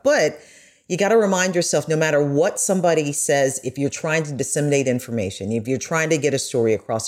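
Earnest journalist with a mid-range voice. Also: mid-range voice. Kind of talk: personal conversational reflection.

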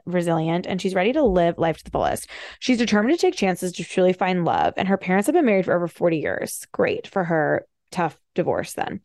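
A woman hosting a solo podcast, talking fast and casually with wry, animated asides. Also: medium pitch, creaky, no room sound, studio quality. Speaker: podcast host. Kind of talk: casual solo commentary, reading bios with asides.

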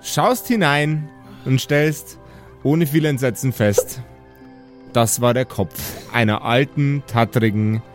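A man's voice: medium pitch, slow pace, faint music behind. A man working as game master and podcast host, mixing improvised narration with casual banter.

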